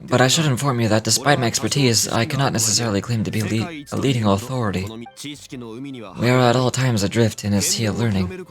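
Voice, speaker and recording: An actor playing a composed, courteous, erudite gentleman consultant in a fantasy game, speaking formally and with measure. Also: lowish voice, fast, music in the background, close to an everyday voice. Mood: courteous, calm.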